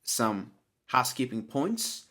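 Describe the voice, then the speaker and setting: A man's voice: mid-range voice, very animated, slow pace, bright, forceful tone. A young man hosting his own podcast while sniffly with a cold, talking through his thoughts in an earnest, hesitant way that keeps correcting itself. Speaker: podcast host. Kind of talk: solo podcast monologue.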